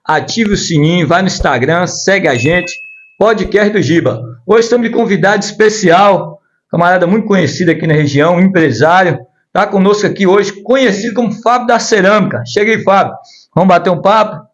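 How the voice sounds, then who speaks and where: High-voiced energetic podcast host man. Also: a little fast, highs cut off. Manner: energetic, friendly host patter. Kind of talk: upbeat podcast introduction and interviewing.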